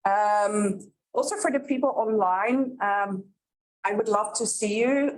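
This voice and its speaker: Dutch woman, middle-aged, guest lecturer; measured, halting delivery with frequent fillers. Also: mid-range voice, a little hiss or hum, poor audio. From Netherlands. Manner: unhurried with many ums and uhs. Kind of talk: university lecture.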